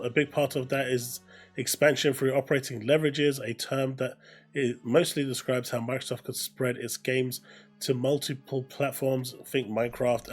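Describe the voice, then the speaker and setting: Man giving gaming news commentary over music. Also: mid-range voice. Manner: explanatory, repeats phrases for emphasis. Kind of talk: casual commentary, reading an article aloud with asides.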